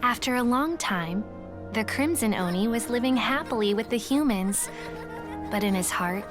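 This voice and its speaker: A woman narrating a folk tale in a game in a calm, storybook way. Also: fairly high pitch, moderate pace, close to an everyday voice. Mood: storytelling. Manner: calm, warm, once-upon-a-time telling. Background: game music.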